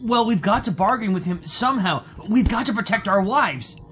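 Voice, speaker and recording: An actor playing an indignant, protective husband held captive in an old-time radio thriller. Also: male voice, high voice, lively intonation, moderate pace, fairly bright tone, slight background noise. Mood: urgent.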